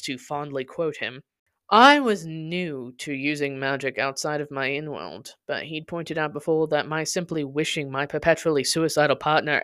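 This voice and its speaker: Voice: medium pitch, a bit bright, clean tone, no room sound, clean studio sound. A podcast host reading a scripted personal narrative aloud with dramatic, emphatic delivery.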